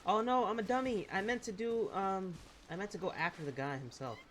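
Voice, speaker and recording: A woman's voice: medium pitch, fairly quick, a little hiss or hum. A woman giving casual gameplay commentary, hesitant and self-deprecating as she thinks aloud. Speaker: gamer playing and commenting. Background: game music.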